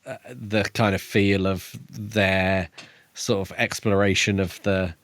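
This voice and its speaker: A man's voice: on the low side, little pitch movement, slow pace, fairly bright tone, dry recording. A man co-hosting a podcast, talking casually about books in a hesitant, hedging way. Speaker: podcast co-host. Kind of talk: casual book discussion.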